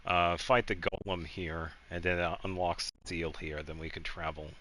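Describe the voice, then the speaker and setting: Bright-voiced low man talking through gameplay. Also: fairly even intonation. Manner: halting, meandering explanations. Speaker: video game streamer. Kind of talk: casual gameplay commentary, guiding another player.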